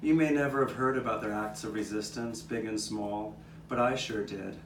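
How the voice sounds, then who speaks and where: A man's voice: fairly low pitch, moderate pace, close to an everyday voice. Distant, echoey old storyteller cat, played by a man. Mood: reflective. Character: old male street cat, reminiscing storyteller with nine lives. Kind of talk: scripted puppet show narration. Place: outdoor courtyard. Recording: distant, echoey live taping with background noise.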